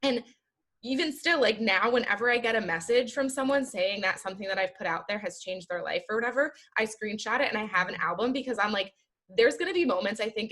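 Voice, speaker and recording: A woman's voice: mid-range voice, a bit bright. A woman guest on a podcast talking about her work as an entrepreneur, in an earnest, chatty way with many "like" fillers. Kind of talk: casual interview chat. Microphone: video call.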